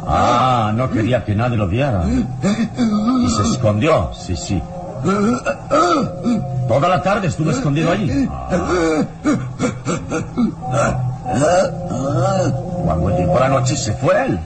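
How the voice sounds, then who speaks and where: An actor playing a calm, authoritative heroic adventurer in a radio serial, speaking deliberately. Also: male voice, mid-range voice. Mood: coaxing, probing. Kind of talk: old radio serial acting. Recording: old radio serial with music. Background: dramatic music.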